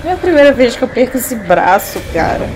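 A woman's voice: a little high, sing-song, fast, a little mellow, faint music behind. A woman playing a tough, sarcastic female fighter in an audio drama. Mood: defiant. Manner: defiant, sarcastic.

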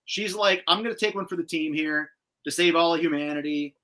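Bright-voiced man in slangy podcast chat. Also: fairly high pitch, a little fast. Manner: animated retelling, slangy, profane. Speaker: host. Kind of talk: casual chat recapping a cartoon episode. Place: indoor room with shelves of collectibles, on a video call. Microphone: video call.

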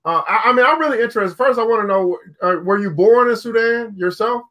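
A man's voice: sits high, a little fast, sharp tone. A man hosting a video call interview, asking long rambling questions with many restarts. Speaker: show host. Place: home room, indoors.

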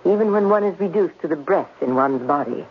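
A woman's voice: on the low side, lively intonation, fairly quick, lightly acted. An actress playing a stern, haughty woman who speaks formally and condescendingly in a 1970s radio drama. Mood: cool, menacing. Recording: dull, noisy vintage radio recording.

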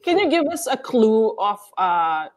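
A woman's voice: a little high, very animated, unhurried. A woman hosting an interview, reading viewer questions aloud and adding Tagalog asides. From Philippines. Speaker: interview host.

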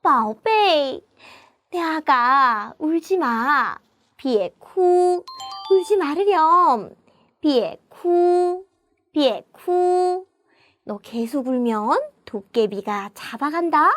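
A woman's voice: sits high, animated, drawn-out words, a bit bright, no echo, heavily acted. A woman voicing a loving mother who coaxes and warns her baby in a children's story. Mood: coaxing.

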